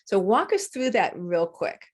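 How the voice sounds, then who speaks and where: A woman hosting a podcast interview, lively and quick with a joke or an aside. Her pitch sits in an ordinary middle range for a woman. She speaks casually, as if chatting with a friend. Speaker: podcast host.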